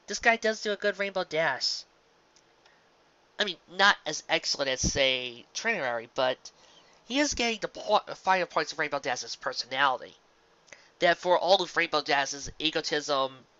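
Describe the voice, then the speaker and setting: Bright, forceful voice on a dull lo-fi recording. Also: mid-range voice. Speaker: fan fiction reader and reviewer. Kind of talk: story reading and casual review commentary.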